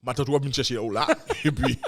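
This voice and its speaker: A man's voice: medium pitch, steady tone, normal speed, gravelly. A man co-hosting a podcast, telling stories in a laid-back way and using slang. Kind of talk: casual podcast chat.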